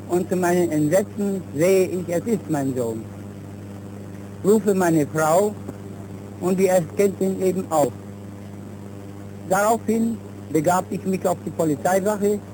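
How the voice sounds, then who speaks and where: A middle-aged man gives a formal account of his own experience. His voice is a little high. It comes from a humming, noisy 1930s newsreel recording. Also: slow, pauses often. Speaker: witness giving testimony. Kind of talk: formal personal testimony for a newsreel.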